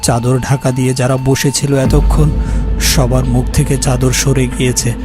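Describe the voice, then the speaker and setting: A man's voice: medium pitch, flat delivery, moderate pace. A man narrating a first-person horror story in a dramatic style. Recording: music behind the narration. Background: music.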